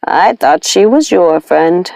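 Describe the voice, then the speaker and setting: A woman voicing a gracious, firm nanny witch in a storybook, composed, measured and gently chiding. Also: fairly low pitch, lively intonation, creaky, no room sound, exaggerated voice. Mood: firm, concerned.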